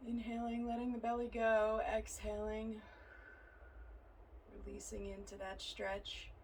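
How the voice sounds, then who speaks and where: Woman guiding yoga in an even tone. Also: fairly high pitch, slight background noise. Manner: soothing, gentle, unhurried phrasing. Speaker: yoga and meditation instructor. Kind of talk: guided yoga and relaxation instruction.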